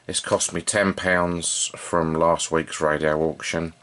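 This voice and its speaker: A man giving an unscripted, informal hands-on demonstration, thinking aloud in a halting way as he works as a hobbyist repairer. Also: low voice, flat delivery, fairly bright tone. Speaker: vintage radio repair hobbyist and video presenter.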